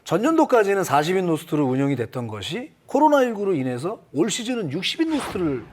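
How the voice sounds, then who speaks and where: Casting: male sports analyst, high voice with animated intonation, explanatory panel talk. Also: quick pace. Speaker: baseball analyst.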